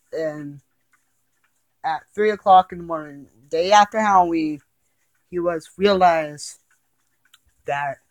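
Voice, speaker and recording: A man telling a horror story informally, in a halting, rambling and repetitive way. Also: on the high side, dry recording, rough recording. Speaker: amateur storyteller reading a creepypasta. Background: ticking and chiming clock.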